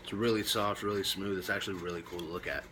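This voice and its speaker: A man presenting reptile care tips in a casual, laid-back way with short emphatic phrases, now and then coughing or clearing his throat. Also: low-pitched, fairly even intonation, fast talker, background noise. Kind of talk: casual informal talk to camera.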